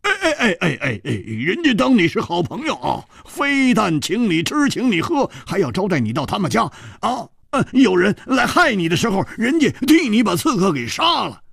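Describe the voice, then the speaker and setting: Guy playing a hotheaded young swordsman in a wuxia story, stammery, voice jumps all over, husky. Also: high-pitched, moderate pace, no room sound, clean studio sound, pitched above the performer's own voice, full character voice. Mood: agitated. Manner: excitable, stammering, whiny. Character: brash hot-tempered male swordsman, young adult.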